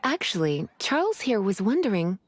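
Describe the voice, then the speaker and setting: A woman's voice: medium pitch, very animated, moderate pace, natural voice. A woman voicing a suave, teasing witch-librarian in a video game, languid and genteel in manner. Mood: coy.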